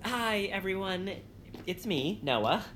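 An actress playing an earnest, timid young idealist who is hesitant and easily flustered, in a family audio comedy. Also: female voice, medium pitch, lively intonation, slow, a bit bright. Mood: shy, hopeful.

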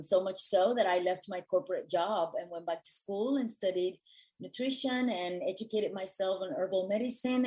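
A woman from Puerto Rico speaking as an interview guest and wellness educator, telling things in an earnest, expressive, conversational way. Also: medium pitch, low-quality recording.

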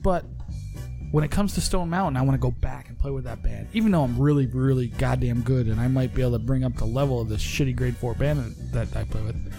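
A man's voice: medium pitch, brisk, vocal fry, music in the background. A man co-hosting a casual comedic chat, arguing in a laid-back, profane way. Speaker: podcast co-host.

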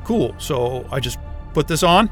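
An actor playing an irreverent, wisecracking astrophysicist in a sci-fi audio drama, with a sarcastic, informal and exasperated way of talking. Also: male voice, on the high side, lively intonation, unhurried, a bit bright, faint music behind. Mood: curious, chatty. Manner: sarcastic, informal, hesitant muttering and outbursts.

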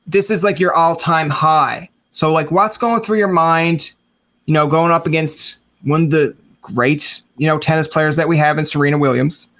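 A man's voice: a little high, normal speed, a bit bright. A man hosting a casual interview, rambling and joking in an informal way. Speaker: talk show host.

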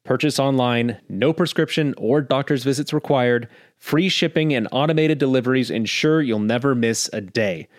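A man reading scripted advertising copy crisply. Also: mid-range voice, normal speed, few pauses, no room sound, clean studio sound. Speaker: ad voice-over.

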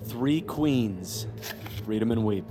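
A swaggering male gambler in an audio drama. His mid-pitched voice is terse, confident and taunting, with music playing behind it. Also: expressive, takes it slowly. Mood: gloating.

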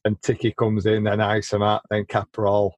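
A man hosting a casual podcast, chatty and quick to share opinions, often stumbling and repeating words as he starts a sentence. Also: lowish voice, monotone, darkish tone, slight background noise. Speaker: podcast host. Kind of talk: casual chat.

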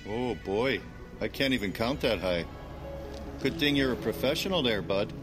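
Male actor as affable Canadian husband, folksy and over-polite, mid-low everyman for an audio comedy. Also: animated, normal speed, over music, natural voice. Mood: chummy. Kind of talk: comedy audio drama acting.

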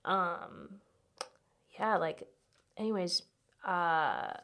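A woman in her late twenties with a slightly low-pitched voice. She talks openly and informally, as on a confessional podcast. Her sentences are loose and packed with "like.". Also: very slow, fairly bright tone. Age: late 20s. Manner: candid, filler-heavy, uses "like" constantly. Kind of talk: casual, confessional podcast chat.

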